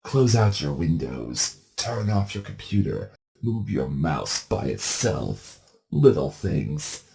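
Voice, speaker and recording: Taunting ghost for creepypasta drama, male actor: low, slow, swooping delivery, cryptic and sadistic. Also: very animated, gravelly, dark tone, exaggerated voice. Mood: menacing, playful. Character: sadistic ghostly male entity taunting its victim through a computer. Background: music.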